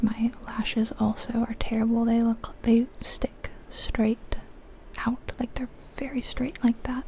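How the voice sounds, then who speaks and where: A woman whispering softly and breathily in a gentle, unhurried ASMR style, chatting casually as she thinks aloud over a game. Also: mid-range voice, husky. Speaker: ASMR video creator, host.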